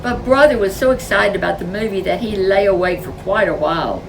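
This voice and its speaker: A woman's voice: mid-range voice, a little fast, gravelly, recorded from a distance, rough recording. A woman reading a children's storybook expressively as a storyteller. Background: music.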